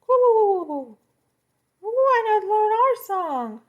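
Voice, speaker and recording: Woman as storybook pigeons, high-pitched, cooing, fluttery, silly. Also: very animated, drawn-out words, highs cut off, exaggerated voice. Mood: inviting.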